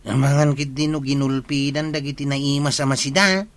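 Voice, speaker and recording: A man's voice: medium pitch, fairly even intonation, moderate pace, fairly bright tone, close to an everyday voice. A man playing a jumpy, complaining sidekick in a village comedy drama, speaking with an Ilocano accent. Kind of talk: comic radio-drama acting.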